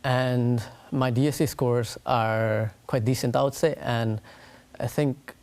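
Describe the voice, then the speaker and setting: A man in his late teens from Nepal, giving measured, careful answers as a guest in a studio interview. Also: medium pitch, steady tone, darkish tone. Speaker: interview guest, school graduate.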